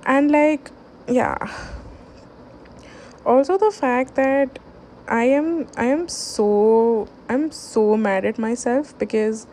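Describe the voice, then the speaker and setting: Hushed, rambling young woman vlogger. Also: fairly high pitch, takes it slowly, a little hiss or hum. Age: young adult. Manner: hushed, rambling, many fillers and restarts. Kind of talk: late-night casual chat. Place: home at night.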